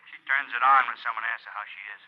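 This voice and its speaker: Rapid-fire policeman, played by a man, muffled. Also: mid-range voice, steady tone, natural voice. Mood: businesslike. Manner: brisk, matter-of-fact. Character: plain-spoken male policeman reporting by phone. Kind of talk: 1950s radio crime drama acting. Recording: muffled old radio, telephone-like sound.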